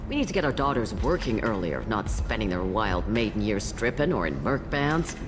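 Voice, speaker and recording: A woman's voice: very deep voice, sing-song, moderate pace. A woman playing a world-weary, foul-mouthed old alien matriarch bartender in a video game, with sardonic, dry delivery. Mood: bitter. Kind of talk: video game character acting. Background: loud background music.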